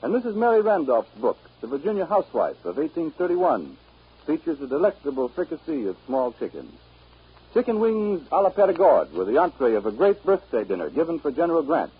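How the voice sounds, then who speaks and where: A male announcer delivers formal, scripted sponsor copy in 1930s radio style. His voice is fairly high and very husky, with emphatic rises and falls. The recording is old and muffled. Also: very animated, brisk. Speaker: announcer reading sponsor's message.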